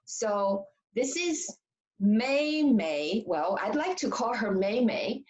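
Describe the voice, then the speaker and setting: A woman from China hosting a casual video chat, speaking in an earnest, measured way with some fillers. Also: fairly high pitch, expressive, darkish tone. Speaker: host.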